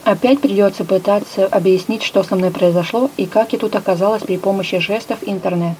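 A woman narrating a first-person story with a lively, expressive reading. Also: mid-range voice, a little fast, slight background noise, poor audio. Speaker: audiobook narrator.

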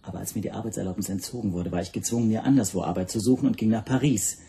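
Mellow, earnest male language teacher. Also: fairly low pitch, very fast, creaky, a little hiss or hum. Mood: earnest, defensive. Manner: formal, careful, explanatory. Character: stateless Hungarian language teacher, anxious and earnest. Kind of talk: radio drama acting.

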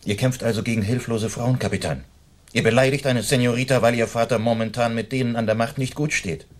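An actor playing a bold, chivalrous masked outlaw in a German radio play, with a courtly, mocking and commanding manner. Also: male voice, medium pitch, fairly even intonation, fairly quick, natural voice. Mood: scornful.

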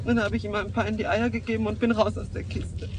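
A very high, effeminate voice from a male actor plays a young transvestite streetwalker in a radio play. The figure sounds shaken and vulnerable, plaintive in every line, over faint traffic noise. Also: little pitch movement, fast, lightly acted. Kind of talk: German radio drama acting.

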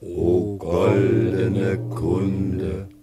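Radio chorus role: solemn peasant, very deep, drawn-out chanting delivery, music underneath. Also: male voice, flat delivery, dark tone. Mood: solemn, awed. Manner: slow, chanting, declamatory. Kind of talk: theatrical chorus in radio comedy.

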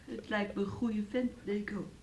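An actress as plaintive, sick young fiancée. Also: female voice, mid-range voice, fairly even intonation, moderate pace, a little mellow, a little hiss or hum, close to an everyday voice. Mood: feverish, weary. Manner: plaintive, speaks of herself in third person. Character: sick, bedridden young fiancée, pleading and wounded. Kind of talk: radio play acting.

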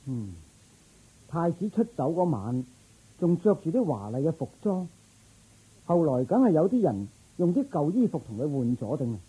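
Man playing a loyal guard, very husky voice. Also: a little high, sing-song, normal speed, mellow, heavily acted, rough recording. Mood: attentive. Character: loyal, thoughtful palace guard officer. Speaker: voice actor. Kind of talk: radio drama acting.

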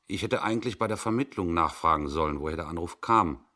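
A man's voice: low-pitched, quick pace, bright, forceful tone. A man voicing a startled, uneasy railway dispatcher in a 1960s radio reading, shifting between urgent questions and muttered self-doubt. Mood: muttering, uneasy.

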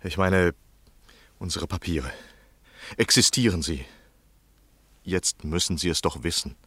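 Classic radio drama lead, insistent, uneasy gentleman, deep voice, measured confessional storytelling. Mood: pleading. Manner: deliberate, literary, confessional. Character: anxious, insistent gentleman journalist recounting his obsession. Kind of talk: 1960s German radio play acting and narration.

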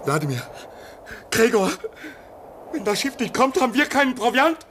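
Radio drama: a cynical, provocative polar castaway, bright forceful tone, swinging pitch, bitter. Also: male voice, sing-song, normal speed, slight background noise, full character voice. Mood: bitter, alarmed. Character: cynical, fatalistic, hard-drinking stranded polar researcher. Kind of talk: German radio play acting.

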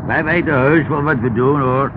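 An actor playing a rough, surly dock worker in a Dutch radio play, gruff and slangy with a gravelly voice. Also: male voice, medium pitch, fairly even intonation, moderate pace, close to an everyday voice. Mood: gruff, dismissive. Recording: tinny, faintly noisy old radio recording.

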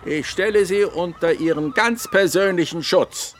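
A man's voice: high-pitched, a little slow, slight background noise. An actor playing a bustling father of daughters in a Regency radio play, urging and commanding. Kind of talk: period radio drama acting.

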